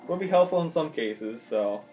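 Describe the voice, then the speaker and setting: A man's voice: a little high, dull audio. Man muttering through a video game. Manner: thinks aloud, swears, frequent ums and uhs. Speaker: gaming YouTuber. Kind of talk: casual gameplay commentary. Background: faint game music.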